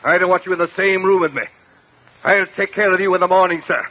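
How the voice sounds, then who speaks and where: Strict old judge uncle, husky voice pitched a bit high, bossy, muffled old radio drama. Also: male voice, fairly even intonation, quick pace. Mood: stern, indignant. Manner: commanding, scolding. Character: stern, angry older judge, a strict guardian uncle. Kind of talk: 1950 radio drama acting. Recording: muffled vintage 1950 radio recording.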